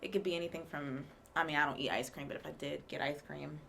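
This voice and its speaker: A woman co-hosting a live show, talking in a chatty, expressive way. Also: sits low, gravelly, slight background noise. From rural area. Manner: chatty, expressive, quick to laugh. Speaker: co-host and actor. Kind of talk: casual live show chat.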